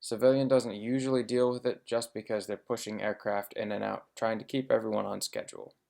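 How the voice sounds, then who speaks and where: Low, gravelly man giving a presentation. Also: steady tone, brisk, a little mellow. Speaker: air traffic controller giving a presentation. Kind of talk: informative slide presentation.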